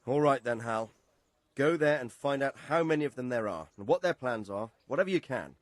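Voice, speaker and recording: An actor playing a cautious, protective nobleman in a medieval game, measured and authoritative. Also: medium pitch, expressive, dry recording, natural voice. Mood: concerned, commanding. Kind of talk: video game cutscene acting.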